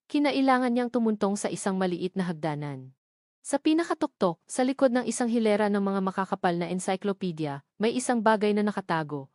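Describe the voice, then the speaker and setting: A woman's voice: mid-range voice, darkish tone, no echo. Woman narrating a dramatic story, dry studio sound.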